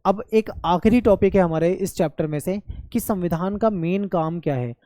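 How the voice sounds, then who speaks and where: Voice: medium pitch, normal speed, mellow, dry recording, clean studio sound. A teacher giving a patient classroom lecture on a textbook chapter, explaining step by step, checking in often and mixing English terms into the explanation. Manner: patient, repetitive explaining with frequent "okay" check-ins.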